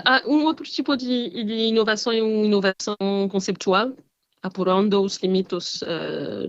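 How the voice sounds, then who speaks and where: French-accented woman, halting on a muffled call. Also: fairly low pitch. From France. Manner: hesitant, many fillers and restarts. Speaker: panel speaker, design school head. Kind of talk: halting presentation in a second language. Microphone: remote video call.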